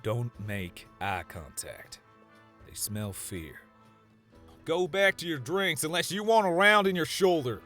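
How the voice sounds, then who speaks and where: Man playing a folksy cowboy with a higher-set drawl. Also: sing-song, slow, sharp tone, heavily acted. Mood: menacing. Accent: American Western. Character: easygoing, confident cowboy man, friendly but tough. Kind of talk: audiobook drama character acting. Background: music.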